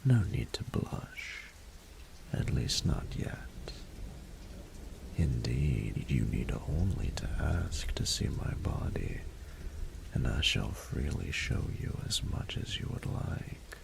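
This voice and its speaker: Man acting as a rumbling, gravelly, seductive dragon lord. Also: big pitch swings, heavily acted. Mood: teasing, flirtatious. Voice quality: very deep, gravelly, breathy half-whisper. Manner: slow, formal, archaic, seductive phrasing. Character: courtly, flirtatious adult male dragon in human form. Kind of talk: scripted romantic audio roleplay.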